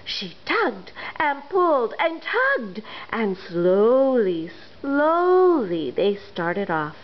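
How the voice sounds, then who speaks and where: A woman reads a children's storybook aloud with a bright, fairly high voice that swings up and down with expression. The recording is dull and lo-fi. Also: extremely slow. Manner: expressive, lively reading.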